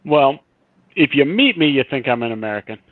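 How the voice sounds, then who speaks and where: A man's voice: medium pitch, animated, fairly quick, no room sound. A man from South Africa, a guest on a podcast, chatting in an easygoing, wry way over a remote call. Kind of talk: casual interview chat. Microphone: remote call line. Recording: dry, tinny phone-like line.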